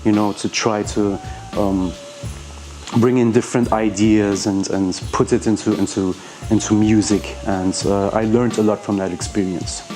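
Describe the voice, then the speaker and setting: A man's voice: lowish voice, fairly even intonation, unhurried, dark tone, faint music behind. A man from Iran whose first language is Persian, a rapper answering interview questions in a hesitant way, with many fillers and restarts.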